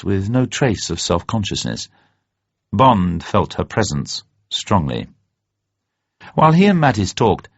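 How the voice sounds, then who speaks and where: A man reading a novel aloud as dramatic narration, measured, literary and expressive. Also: lowish voice. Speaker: narrator, audiobook reader. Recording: dull, roomy recording.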